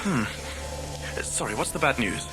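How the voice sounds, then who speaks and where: Man acting an animated, excitable professor. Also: mid-range voice, measured pace, music in the background, lightly acted, low-quality recording. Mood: awed, excited. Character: enthusiastic, excitable male archaeology professor. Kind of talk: audio drama acting.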